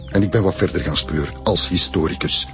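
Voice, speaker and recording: An actor with a Flemish accent playing an older, indignant and proud historian in a radio drama, speaking deliberately and bitterly. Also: male voice, sits low, close to an everyday voice. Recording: faint music behind the dialogue.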